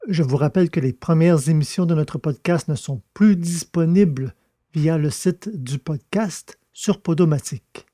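A man's voice: a little high, mellow. A man presenting a podcast and narrating a science fiction serial in carefully phrased, literary read speech. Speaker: podcast host and audiobook narrator.

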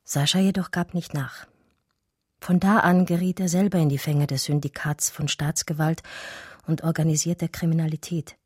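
Low-voiced, wistful German woman remembering. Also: fairly even intonation, normal speed, darkish tone, husky, no echo, studio quality. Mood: sober recollection. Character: wistful German woman art historian remembering her lost Russian lover. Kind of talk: radio drama first-person narration.

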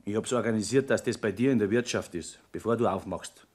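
An actor playing an evasive, scheming married lover with a Bavarian accent, cautious and matter-of-fact, in 1960s radio drama. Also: male voice, fairly low pitch, brisk, darkish tone, natural voice. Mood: conspiratorial. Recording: noisy 1960s radio play recording.

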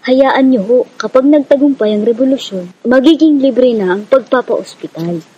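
Actress as husky, caring Filipino guerrilla medic. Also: female voice, high-pitched, normal speed. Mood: reassuring. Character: caring, reassuring female guerrilla village medic. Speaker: rebel medic character. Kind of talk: radio drama dialogue. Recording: slightly dull lo-fi audio.

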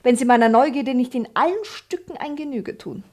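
Sly, high-voiced young maidservant. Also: female voice, lively intonation, fairly quick, a little mellow. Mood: coy, bargaining. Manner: teasing, theatrical, mock-formal. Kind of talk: classic German stage comedy as radio play.

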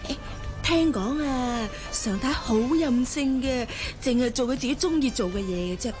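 Actress as a gossipy, higher-voiced office girl. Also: natural voice. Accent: Hong Kong. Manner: gossipy, drawn-out, exclamatory. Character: chatty, gossipy female office colleague. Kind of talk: Cantonese radio drama acting. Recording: music playing behind the voice.

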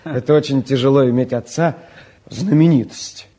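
A man's voice: medium pitch, moderate pace, warm tone, close to an everyday voice. An actor playing a self-important young man of privilege in a radio play, pompous while affecting modesty. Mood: smooth, self-important. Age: young adult. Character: suave, self-important young son of a famous academician. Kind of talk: 1950s radio play acting.

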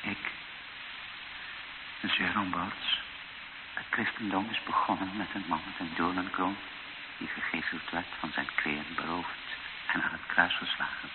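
Low-voiced, solemn bishop. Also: male voice, fairly even intonation. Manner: solemn, measured, matter-of-fact. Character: grave, fatalistic male missionary bishop. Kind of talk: radio drama acting. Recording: tinny old radio recording with clear hiss.

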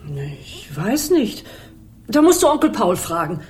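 An actress playing a nervous middle-aged aunt in a radio crime drama, dodging questions. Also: female voice, sing-song, measured pace. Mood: evasive. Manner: nervous, evasive, voice cracks high.

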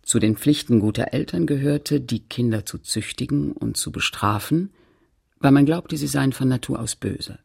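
A narrator in a radio play, reflectively recalling a postwar provincial childhood in measured, deliberate, literary recitation. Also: mid-range voice, vocal fry, a little mellow, slightly rough.

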